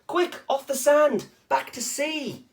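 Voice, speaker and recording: A man's voice: very high-pitched, slow pace, pitched above the performer's own voice, exaggerated voice. A man voicing a tiny female sea snail, wistful and earnest, in a children's storybook. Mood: urgent.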